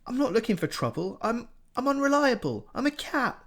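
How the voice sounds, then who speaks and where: A man voicing a nervous, sly male cat who talks fast and wheedles, pitched up. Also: very animated, fairly bright tone, full character voice. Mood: anxious. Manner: quick, wheedling, higher pitched.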